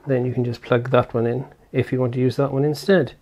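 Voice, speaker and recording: A man's voice: medium pitch, little pitch movement, brisk, darkish tone. A man giving casual hands-on product commentary as a reviewer, explaining step by step and thinking aloud informally. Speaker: tech reviewer and presenter.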